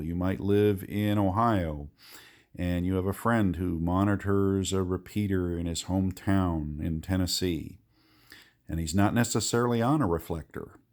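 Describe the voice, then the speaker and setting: A man's voice: low voice, vocal fry, darkish tone. A man giving a casual, folksy, hands-on tutorial as a radio hobbyist, explaining things conversationally.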